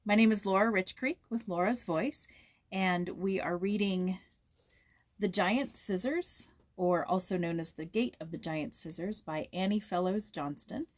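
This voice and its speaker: A woman narrating a children's story aloud in a measured, expressive storytelling manner. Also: mid-range voice. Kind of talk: storybook read-aloud with informal introduction.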